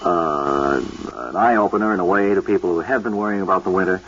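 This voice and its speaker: A man playing a patient, puzzled radio interviewer in a comedy sketch, speaking with hesitant, deadpan courtesy. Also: low voice, a little fast. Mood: polite puzzlement. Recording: muffled vintage radio recording.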